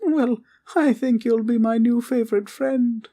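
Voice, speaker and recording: Woman voicing a sweet, slow, soft-toned teddy bear. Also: on the high side. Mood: comforting. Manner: gentle, quaint, whimsical, reassuring. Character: sweet, innocent, cuddly talking teddy bear companion. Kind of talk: roleplay comfort audio acting.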